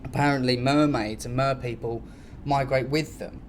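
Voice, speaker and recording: A man's voice: medium pitch, fairly even intonation, normal speed. A man co-hosting a comedy podcast, chatting casually in a rambling, slangy, sweary way. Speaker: podcast co-host. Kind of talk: casual comic podcast chat. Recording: clear background noise.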